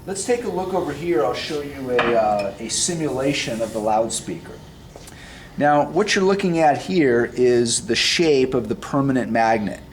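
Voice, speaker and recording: A man's voice: lively intonation, normal speed. Man teaching in an echoey room. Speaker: physics teacher. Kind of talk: explanatory science demonstration. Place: classroom science lab, indoors. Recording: roomy, distant mic, background rumble.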